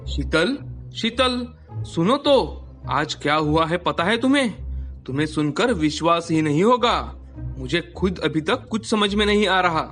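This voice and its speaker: Man acting slow-spoken village farmer husband. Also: high-pitched, expressive, fairly bright tone, faint music behind. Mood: puzzled, excited. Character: plain village farmer husband, baffled and doubting. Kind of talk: scripted Hindi moral story acting.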